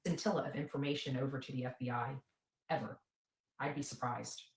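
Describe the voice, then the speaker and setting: A low-pitched woman hosts a casual livestream in a roomy, echoing space. She rambles to her followers and repeats phrases for emphasis. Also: steady tone, a little fast. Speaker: livestream host and advocate.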